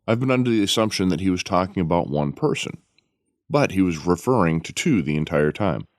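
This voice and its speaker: An actor playing a skeptical, practical police officer asking questions in an audio drama. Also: male voice, low voice, animated, a little fast, no echo, clean studio sound.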